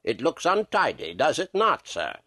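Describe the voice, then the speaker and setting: Croaking, low old book peddler. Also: male voice, wildly swooping pitch, moderate pace, sharp tone, no room sound, clean studio sound, exaggerated voice. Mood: wheedling, apologetic. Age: older. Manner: obsequious, hesitant, old-fashioned politeness. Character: strange, wizened old book collector, servile and wheedling.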